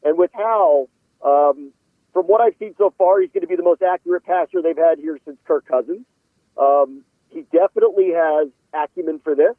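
A man's voice: fairly high pitch, normal speed, no echo. A middle-aged man, a sports reporter, giving casual conversational analysis as a guest on a show. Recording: tinny narrow phone-like line.

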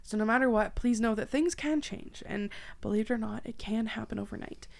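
Creaky-voiced young woman hosting a podcast. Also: a little high, brisk, a little mellow. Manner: effusive, informal. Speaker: podcast host. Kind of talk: casual chatty podcast talk.

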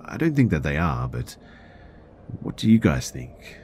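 A man's voice: a bass voice, big pitch swings, a little slow, darkish tone, slight background noise. A man narrating first-person scary stories in a conversational style.

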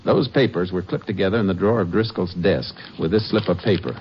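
A calm, low-voiced Texas Ranger detective played by an actor in an old radio western, clipped and authoritative. The sound is muffled and lo-fi with a faint hiss. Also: male voice, fast, close to an everyday voice. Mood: steady. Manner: steady, clipped, authoritative questioning. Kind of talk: 1950s radio drama acting and narration.

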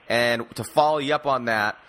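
Bright, forceful male sports host. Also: mid-range voice, normal speed. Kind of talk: casual sports interview.

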